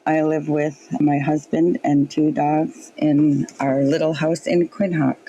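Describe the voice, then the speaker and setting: Woman, from Alaska, guest, mellow, deliberate, muffled lo-fi line, faint hiss. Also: deep voice, flat delivery. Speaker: guest, filmmaker. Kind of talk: slow, careful self-introduction.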